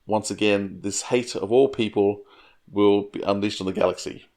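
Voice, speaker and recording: Man, podcast co-host, chatty, casual, low voice. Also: steady tone, normal speed, darkish tone. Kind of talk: casual chat.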